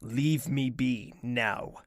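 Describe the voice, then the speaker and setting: An actress playing a curt, brooding, imperious young royal in a fantasy audio drama, terse and weary. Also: female voice, rumbling low, extremely slow, no echo, studio quality, heavily acted. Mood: cold, commanding. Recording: voice under clear music.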